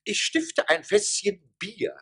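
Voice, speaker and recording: An actor playing a flustered village mayor in a radio play, theatrical and hesitant, asking rhetorical questions. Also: male voice, high-pitched, big pitch swings, slow, fairly bright tone, husky, heavily acted. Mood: flustered, urgent. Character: flustered male village mayor chairing a council. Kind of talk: German radio play acting.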